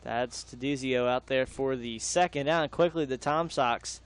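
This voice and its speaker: A man's voice: mid-range voice, normal speed, a crowd in the background. A young man doing live baseball play-by-play, mixing the calls with casual banter. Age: young adult.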